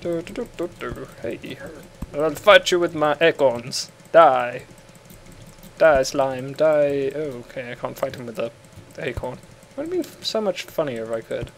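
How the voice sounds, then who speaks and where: A man's voice: medium pitch, animated, a little slow, a little hiss or hum. Giggly English guy gaming. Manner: giggly, joking, rambling. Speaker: co-host playing a game. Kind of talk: casual gaming chat.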